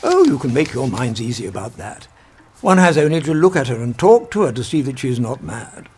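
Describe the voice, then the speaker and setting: An actor playing a kindly, logical old professor, speaking deliberately and formally in a radio drama. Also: male voice, medium pitch, very animated, husky, soft background music. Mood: exasperated, reasoning. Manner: deliberate, formal, pedantic, gently exasperated.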